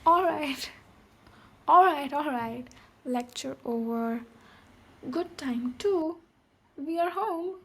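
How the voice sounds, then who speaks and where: A woman voicing a tough but protective ogre warrior in a soft-spoken, reassuring ASMR roleplay, with pauses. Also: high voice, lively intonation, slight background noise, exaggerated voice. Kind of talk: ASMR roleplay, speaking to the listener.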